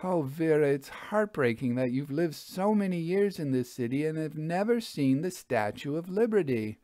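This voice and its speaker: Storybook lady sleuth played by a man: lifted pitch, emphatic, inquisitive, theatrical, old-fashioned wording. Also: lively intonation, normal speed, dark tone, dry recording, studio quality, lightly acted. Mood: wry. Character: forthright, theatrical woman ghost investigator, wry and inquisitive.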